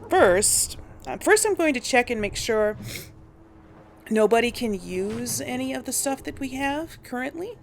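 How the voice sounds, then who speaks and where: A woman's voice: fairly high pitch, animated, a little slow, gravelly, slight background noise. A woman giving casual gameplay commentary as a host, hesitantly thinking aloud. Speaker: host of a game role-play series.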